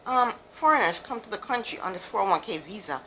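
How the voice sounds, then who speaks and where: A woman's voice: medium pitch, animated, fast, bright, forceful tone, a little hiss or hum, poor audio. A woman from Jamaica giving a chatty, frank, opinionated recap of a reality show, with plenty of ums and asides. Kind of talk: casual monologue, opinionated recap.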